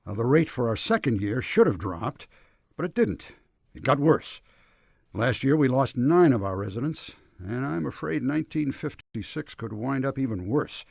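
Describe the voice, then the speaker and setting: An actor playing an anxious, earnest and formal retirement home director in a radio drama. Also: male voice, mid-range voice, animated, normal speed, gravelly, a little mellow, husky, dry recording. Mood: earnest, worried. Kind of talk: radio detective drama acting. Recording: dull lo-fi radio broadcast.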